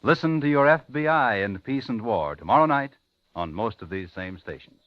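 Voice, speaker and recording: A man's voice: medium pitch, animated, moderate pace, no echo. A man with an American accent reading a punchy, polished 1950s radio promo as a network announcer. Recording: dull 1950s radio recording.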